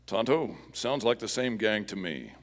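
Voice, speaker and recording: An actor playing a steadfast, commanding masked Western hero in a live radio play, with formal, resolute phrasing. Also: male voice, fairly low pitch, big pitch swings, moderate pace, long reverb tail. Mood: purposeful.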